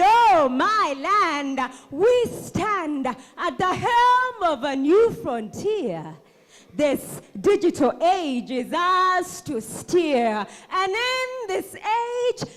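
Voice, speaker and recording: A woman delivers theatrical spoken word in a very high, swooping voice. She goes extremely slowly, declaiming each phrase with passion and leaving dramatic pauses. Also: a bit bright.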